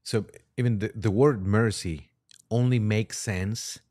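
Low-voiced man, halting and deliberate. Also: a little mellow. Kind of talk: religious discussion, reading aloud and commenting.

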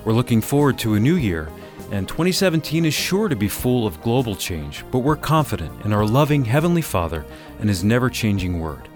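A man's voice: mid-range voice, big pitch swings, brisk. A man with an American accent reading scripted introductions and announcements as co-host of a radio program. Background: soft guitar music.

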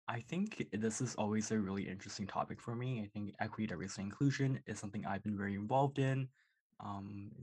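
A man's voice: lowish voice, slightly rough. A young man from British Columbia, a university student, leading a podcast chat in an upbeat, friendly way. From British Columbia, Canada. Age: young adult. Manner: upbeat, friendly, leads the conversation. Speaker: undergraduate student co-host. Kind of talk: conversational podcast talk.

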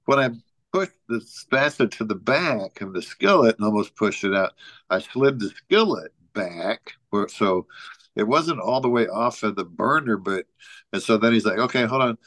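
A man with a fairly low voice chats casually as a podcast co-host. He rambles through an anecdote, leaning on "you know" and often chuckling. The sound is very dry, with no room echo. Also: animated, normal speed, fairly bright tone. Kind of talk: casual chat, telling an anecdote.

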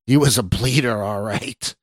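A man's voice: mid-range voice, unhurried, dry recording, lightly acted. A sinister male stranger from an audiobook thriller, acted out by a man narrator, taunting and sneering. He snarls his words with open scorn and mockery, recorded close and dry. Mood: wicked glee. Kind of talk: audiobook character voice.

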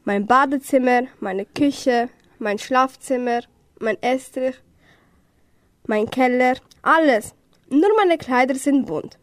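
A young woman playing a scatty, quick-tempered witch in a children's amateur radio play. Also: high-pitched, dry recording, studio quality. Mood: chatty, proud.

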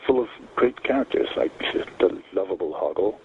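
Very deep male caller, gravelly voice, tinny phone line, faint noise behind, rambling. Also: animated. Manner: rambling, halting, many fillers. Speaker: caller, listener. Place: outdoors on a balcony.

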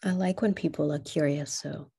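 A woman with a notably low voice and a Kenyan English accent that has been softened by years in America. She recounts her experiences in a measured, reflective way, pausing to gather her thoughts. She is a young adult speaking as an interview guest. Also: fairly even intonation, gravelly, husky. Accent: Kenyan English, partly Americanized. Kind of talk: personal interview.

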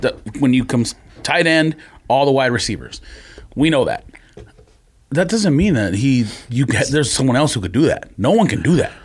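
A man's voice: medium pitch, sing-song, moderate pace, slightly rough. A man co-hosting a sports podcast, debating casually in an emphatic, insistent way. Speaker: sports and sneaker podcast co-host.

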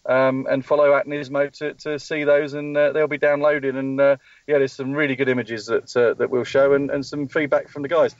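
A man calls in from a race track as a team spokesperson, rambling with plenty of ums and restarts. His voice sits in a middle pitch. The line is dull and lo-fi, with a dry sound. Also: little pitch movement, normal speed, dry recording. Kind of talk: live call-in interview. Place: at a race track testing session.

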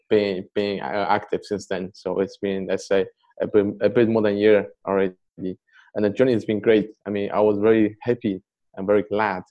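Halting, muffled low-voiced man. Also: a little mellow. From Poland. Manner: halting, many fillers and restarts. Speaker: crypto fund investor guest. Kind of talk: interview answers.

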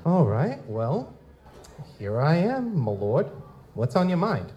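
A man's voice: on the high side, wildly swooping pitch, takes it slowly, highs cut off, slight background noise, full character voice. An actor playing a wry, down-on-his-luck lutin private investigator in a live audio drama, with wisecracking, folksy noir storytelling. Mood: guarded, casual. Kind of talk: live audio drama, first-person noir narration and dialogue. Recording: music behind the voice.

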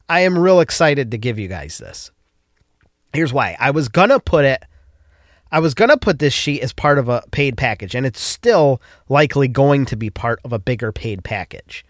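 A man's voice: medium pitch, no room sound, clean studio sound. Stuffed-up man hosting a podcast. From upstate New York. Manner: loose, rambling, with frequent restarts. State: stuffed-up nose from a cold. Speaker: podcast host. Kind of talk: casual solo podcast talk.

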